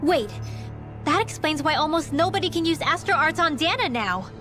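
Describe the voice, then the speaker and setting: Young game girl, high-pitched, earnest, inquisitive, clear music behind. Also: expressive, normal speed, pushed and bright. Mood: startled, questioning. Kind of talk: scripted video game voice acting.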